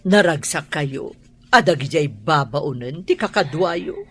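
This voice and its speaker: A woman's voice: deep voice, lively intonation, unhurried, a little hiss or hum. A woman with an Ilocano accent playing a haughty, domineering rich mother in a radio drama, imperious and scolding. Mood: probing.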